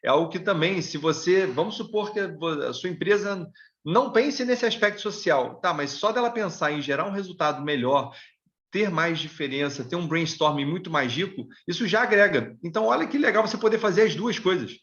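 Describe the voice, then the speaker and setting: A man's voice: high-pitched, animated, fairly quick, a bit bright. Earnest Brazilian man, muffled call audio. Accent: Brazilian Portuguese. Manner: earnest, repeats small words when starting a thought. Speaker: panelist from an immigrant support association. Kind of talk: presentation on a video call.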